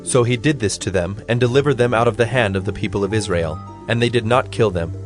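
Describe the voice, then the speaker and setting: Measured male narrator over music. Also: on the low side. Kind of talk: scripted dramatized Bible narration.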